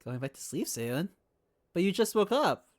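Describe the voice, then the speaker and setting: Woman, low pitch, lively intonation, casual livestream chat, playful, affectionate. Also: normal speed. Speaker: live streamer.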